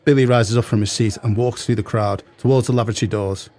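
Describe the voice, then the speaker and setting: A man's voice: on the low side, steady tone, quick pace, darkish tone, close to an everyday voice. A man narrating an audio play, reading scene directions in a detached, scripted manner. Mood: neutral.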